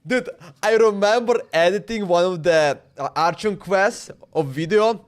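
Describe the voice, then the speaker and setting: Male game streamer, slightly high voice, informal, slangy, loose casual chat. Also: measured pace. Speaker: game livestreamer.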